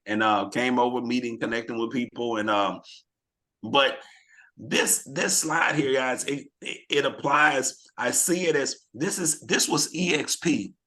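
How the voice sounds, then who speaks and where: A slightly hoarse man hosting a team video call, rallying the group with questions in an energetic, motivational way. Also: medium pitch, a little slow. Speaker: team leader hosting a real estate mastermind call.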